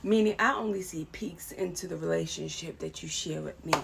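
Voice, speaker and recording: A woman's voice: deep voice, a little fast, creaky, noisy background. A woman vlogger talking casually about a series, with many fillers and restarts, and asking viewers to correct her. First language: English. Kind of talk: casual talk to camera.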